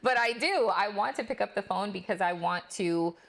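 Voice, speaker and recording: A woman speaking as a guest nutrition therapist on a podcast, chatty and friendly, laughing often and agreeing quickly. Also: medium pitch, vocal fry, fairly bright tone, low-quality recording. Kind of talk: casual podcast conversation.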